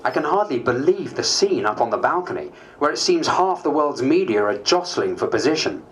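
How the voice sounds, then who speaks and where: A man's voice: mid-range voice, big pitch swings, brisk, a little hiss or hum, close to an everyday voice, low-quality recording. A man playing a weary, reflective politician, reading a diary aloud with theatrical expression.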